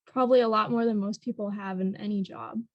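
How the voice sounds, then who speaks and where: A woman's voice: medium pitch, steady tone, quick pace, gravelly, no room sound, lo-fi sound. A twenty-year-old woman talking casually as a trainee, tentative and agreeable in manner. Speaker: new real estate trainee being coached. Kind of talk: casual, conversational replies.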